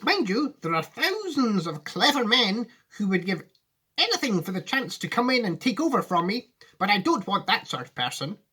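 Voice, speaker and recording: Storybook eccentric old man, played by a male reader: commanding, excitable, raised pitch, very animated. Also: moderate pace, husky, full character voice. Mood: earnest. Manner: emphatic, exclamatory. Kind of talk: storybook read aloud.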